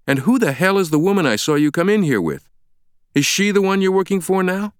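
A man's voice: mid-range voice, expressive, moderate pace, no echo, studio quality, voice pushed up, close to an everyday voice. A man playing an agitated American doctor in an audiobook, incredulous and demanding, blurting out his words. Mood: angry, incredulous. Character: agitated American man, an itchy, indignant public health doctor.

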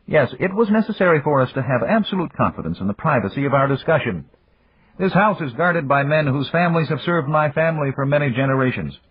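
A man voicing a burly older Japanese business magnate who speaks in a formal, measured way. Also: on the high side, lively intonation, pitched above the performer's own voice. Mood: grave. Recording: dry, phone-like, husky.

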